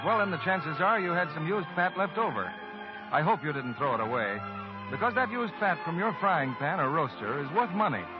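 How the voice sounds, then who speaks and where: A man's voice: on the high side, expressive, brisk. A man reading a vintage radio advertisement as an announcer. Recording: dull old radio recording. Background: music.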